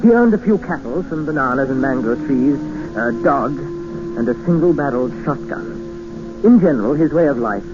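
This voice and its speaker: An actor with an English accent narrating and playing a polite, reserved gentleman castaway in a 1950s radio drama. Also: mid-range voice, lively intonation, moderate pace, noisy background, natural voice. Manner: polite, understated, reflective narration. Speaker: narrator and lead character. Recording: muffled 1950s radio broadcast with music score.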